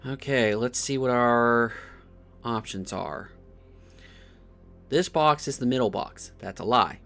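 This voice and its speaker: A man streams a video game in a medium-pitched voice. He comments casually on his choices and reads game text aloud as he goes. Faint music plays behind him. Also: slow, vocal fry, a bit bright. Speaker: gaming streamer.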